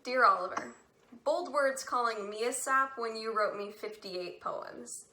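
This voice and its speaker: A woman's voice: a little high, unhurried, sharp tone. An actress playing a wry, regretful teenage girl in a stage drama, answering letters aloud. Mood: wry, fond. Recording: echoey room with light noise.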